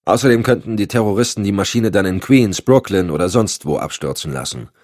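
A man's voice: on the low side, lively intonation, brisk, a little mellow, no room sound, studio quality, voice pushed up. A man voicing a curt, sardonic, commanding agency chief with clipped formal speech in an audiobook. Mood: cool, controlled. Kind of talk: audiobook dialogue.

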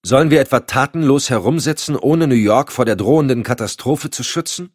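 A man's voice: on the low side, animated, normal speed, no echo, clean studio sound, voice pushed up. A man voicing an indignant security official in an audiobook, blustering and sneering. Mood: scornful. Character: indignant, scornful male homeland security official. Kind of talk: audiobook dialogue.

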